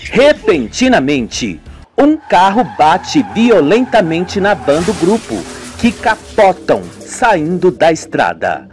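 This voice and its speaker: A man's voice: high voice, big pitch swings, takes it slowly, soft background music. A man narrating an amateur radio novela with dramatic storytelling. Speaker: narrator and sole voice actor.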